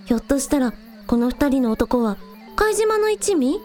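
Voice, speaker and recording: ラジオドラマで、率直で面倒見のよい元気な若いアイドルの女の子を演じる女性。. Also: female voice, high-pitched, very animated, normal speed, darkish tone, faint music behind. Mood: concerned. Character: energetic young village idol girl, frank and caring. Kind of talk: amateur anime-like radio drama acting.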